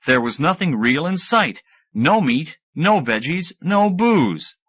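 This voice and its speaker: A man's voice: a little high, sing-song, slow, fairly bright tone. A man reads scripted fiction as an audiobook narrator, shifting into character voices. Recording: 1980s audiobook cassette recording.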